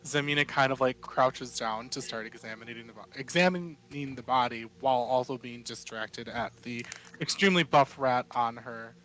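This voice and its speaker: Man role-playing, medium-pitched voice. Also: moderate pace, fairly bright tone, rough recording. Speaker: tabletop game player. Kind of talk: casual role-play narrated in third person.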